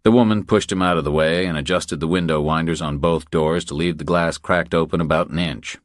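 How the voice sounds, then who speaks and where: A man's voice: extremely low pitch, steady tone, fairly quick, no echo, clean studio sound. A man reading a thriller audiobook as scripted narration.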